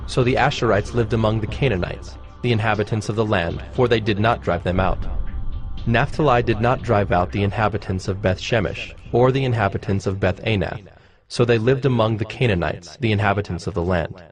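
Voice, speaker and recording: A man narrating scripture from a script in a measured, formal manner. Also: on the low side. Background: music.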